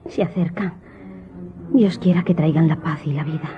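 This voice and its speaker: Actress, anxious devoted mother, radio drama, very low female voice, pleading, grave, muffled sound. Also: normal speed, background noise, lightly acted. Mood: fearful. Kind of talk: Spanish radio drama acting. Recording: dull lo-fi audio under music.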